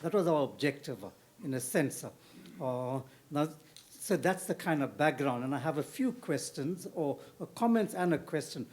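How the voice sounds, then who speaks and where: An older man from South Africa asking the panel a long question from the audience, halting and full of fillers. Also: on the high side, lively intonation, darkish tone. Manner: halting, with many fillers and restarts. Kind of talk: question from the floor at a panel discussion.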